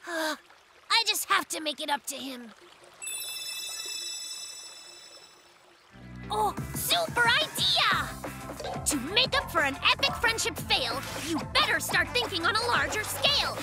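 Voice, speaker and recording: Excitable boastful bear cub, very high, lively, cartoon with loud music. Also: female voice, a little slow, full character voice. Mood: sheepish. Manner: hyper, boastful, dramatic storytelling with sound effects.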